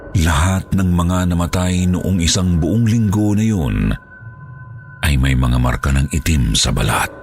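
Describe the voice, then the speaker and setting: A man's voice: low-pitched, a little slow, creaky. A man with a Filipino accent reading a scripted horror story as narrator. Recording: background music under the voice.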